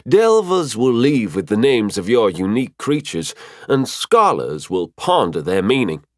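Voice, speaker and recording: A man's voice: on the low side, big pitch swings, a little slow, dry recording, studio quality. A man voicing a cheerful, teasing female spirit mentor in an audiobook, chatty and fond as she explains things. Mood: encouraging. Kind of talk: audiobook character voice.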